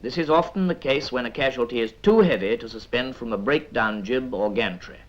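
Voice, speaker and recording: British guy narrating an old 50s army training film, measured and instructive, muffled old soundtrack, medium pitch. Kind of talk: scripted 1950s military instructional film narration. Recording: dull vintage 1950s film soundtrack.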